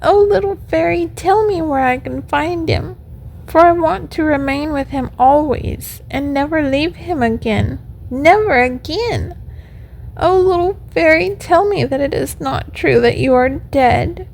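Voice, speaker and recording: Woman acting out a childlike wooden puppet boy, very high voice, plaintive, emotional, exclaiming, storybook style. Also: lively intonation, exaggerated voice, rough recording. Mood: grieving, pleading. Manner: plaintive, exclamatory, rapid questioning. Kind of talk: storybook character voice.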